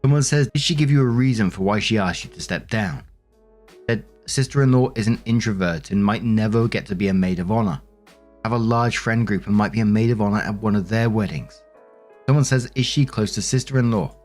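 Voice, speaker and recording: A man with a fairly low voice narrates online stories. He reads in a measured, conversational way and adds his own commentary as a host would. Soft music plays behind him. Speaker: host and narrator of Reddit story compilations.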